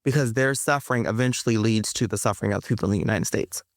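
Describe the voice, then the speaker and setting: A woman who is a poet and interview guest, talking in an emphatic, expressive way. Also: rumbling low, quick pace, no echo, clean studio sound. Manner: emphatic, laughs often while talking. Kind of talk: conversational interview, reciting own poem.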